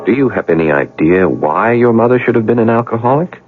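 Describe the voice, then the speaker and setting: Actor as a deep-voiced, probing doctor. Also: male voice, lively intonation, brisk, over music, natural voice. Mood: gentle probing. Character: earnest, probing male doctor, protective and insistent. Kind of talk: 1970s radio drama acting. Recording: lo-fi 1970s radio broadcast.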